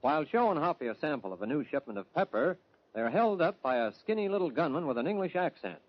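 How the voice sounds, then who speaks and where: A man's voice: a little high, sing-song, fast talker. A man delivering scripted narration for an old-time radio drama. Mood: storytelling. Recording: dull old radio recording with music.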